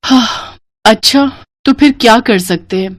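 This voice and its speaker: A woman's voice: fairly high pitch, a little slow, dry recording, clean studio sound, lightly acted. A woman voicing a farmer's devoted, practical village wife in a moral-story cartoon. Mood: concerned.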